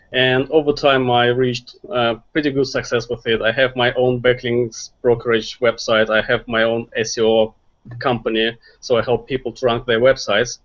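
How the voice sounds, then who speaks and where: Brief: Russian-accented male guest, mid pitch, earnest advice, muffled call audio. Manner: earnest, advice-giving, some grammar slips. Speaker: guest, SEO marketer. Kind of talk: online interview.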